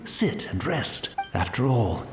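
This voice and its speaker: A man's voice: mid-range voice, sing-song, takes it slowly, reverberant, over music. A man playing a humble, resigned tea master and loyal retainer in a video game, formal and deferential.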